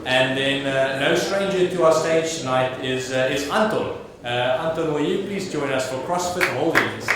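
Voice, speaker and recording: South African emcee in an echoey hall. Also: male voice, medium pitch, normal speed, hardly any pauses. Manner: slangy, enthusiastic, casual swearing. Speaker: awards host. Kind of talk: lively stage emceeing. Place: large event hall with audience. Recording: very echoey hall with crowd noise.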